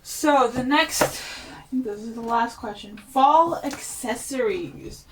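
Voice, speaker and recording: A woman's voice: a little high, slow, fairly bright tone, slight background noise, rough recording. A woman book vlogger chatting casually to camera at home, playful, with lots of fillers and jokey asides. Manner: chatty and playful, many "like" and "you know" fillers.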